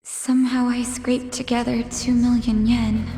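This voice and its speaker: A woman's voice: a little high, flat delivery, a little slow, warm tone. A woman playing a polite, anxious daughter in a video game, hesitant and timid in her way of talking. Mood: distressed.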